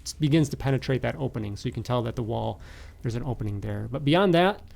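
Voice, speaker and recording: A male game master with a medium-pitched voice full of creak. He improvises narration for a tabletop game, feeling out his phrases in a halting, hesitant way. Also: lively intonation, darkish tone.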